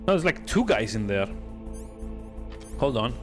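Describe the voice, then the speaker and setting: A man's voice: medium pitch, very animated, a little slow, soft background music. A man giving casual live game commentary, rambling and joking as he chats to his viewers. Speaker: game streamer.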